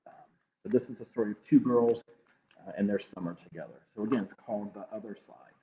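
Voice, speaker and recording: A man reading a picture book aloud to children with measured, expressive pauses. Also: lowish voice. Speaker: library storytime reader. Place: livestream from a closed-library online program. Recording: muffled phone-like streaming audio.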